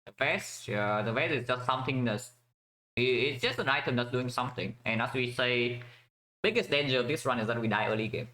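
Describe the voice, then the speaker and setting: A man's voice: mid-range voice, a little fast, sharp tone, poor audio. A man from Vietnam giving casual commentary while playing a strategy game, thinking aloud with many fillers and restarts.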